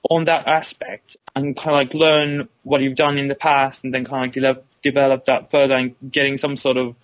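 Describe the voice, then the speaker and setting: A man's voice: mid-range voice, flat delivery, moderate pace, poor audio. A young man in his twenties from Finland, speaking with a Finnish accent as a non-native speaker, giving hesitant interview answers full of restarts and fillers. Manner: hesitant, repeats words, many "kinda like" fillers. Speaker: illustration student, interviewee.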